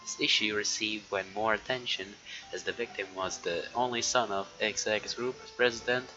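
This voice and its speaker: A man's voice: lowish voice, fairly even intonation, moderate pace, over music. A man streaming a game, chatting casually with viewers, swearing now and then and reading story text aloud. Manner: swears casually, talks to chat. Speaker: live streamer. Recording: dull lo-fi mic with background noise.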